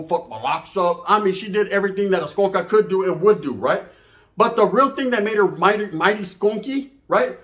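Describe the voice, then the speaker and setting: A man's voice: high-pitched, fairly bright tone. A middle-aged man tells a comedic story informally, profane and boastful, wandering off and checking in with tag questions.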